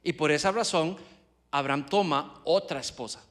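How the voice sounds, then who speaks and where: A man's voice: on the high side, normal speed, fairly bright tone. A man interpreting a sermon live into Spanish, hesitating and restarting as he goes. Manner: hesitant, many fillers and restarts while interpreting. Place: church sanctuary.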